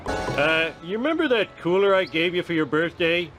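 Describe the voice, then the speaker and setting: A dim-witted, gluttonous cartoon dad acted by a man, high-pitched and swooping, with an American accent. He whines and blusters in a childish grumble, over faint music. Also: expressive, normal speed, lightly acted. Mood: sheepish, grumbling. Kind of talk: animated comedy game voice acting.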